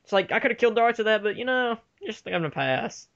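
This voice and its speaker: A man's voice: high voice, lively intonation, fast talker, gravelly, a bit bright. A man co-hosting a casual fan commentary with crude, flippant, playful riffing, recorded on a dull lo-fi track. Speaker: co-host of a fan movie commentary. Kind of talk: casual joking banter.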